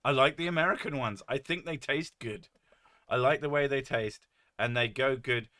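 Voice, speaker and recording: A man with a deep, boomy voice hosting a casual stream, joking and rambling with a few curses, his mic set well above his head. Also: normal speed, bright, forceful tone. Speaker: streamer host. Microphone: desk mic set well above his head.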